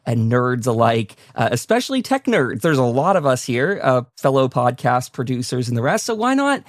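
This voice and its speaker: A man's voice: mid-range voice, very animated, moderate pace, no echo, studio quality. A man hosting a casual interview show, talking in an enthusiastic, effusive way. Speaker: talk show host.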